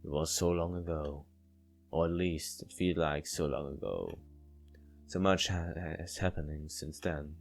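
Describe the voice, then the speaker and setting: A man with a very low voice reads a visual novel aloud. He goes slowly and haltingly, tripping over words and correcting himself, with faint music playing behind him. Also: vocal fry. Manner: halting, stumbling over words while reading. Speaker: game let's player reading aloud. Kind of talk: reading visual novel narration aloud with asides.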